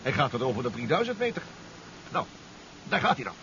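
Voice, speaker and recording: An actor playing a confident, reassuring professor who built a submarine, speaking with assured precision in a 1970s radio drama. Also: male voice, mid-range voice, big pitch swings, brisk, natural voice. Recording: muffled, noisy old 1970s radio recording.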